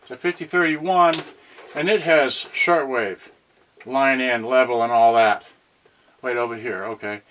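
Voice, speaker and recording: A man's voice: mid-range voice, a little slow, fairly bright tone. A man chatting off the cuff as a livestream host, scattered and folksy, often muttering asides to himself. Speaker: host of a home unboxing video about cassette radios. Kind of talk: rambling unscripted livestream chat. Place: cramped indoor space.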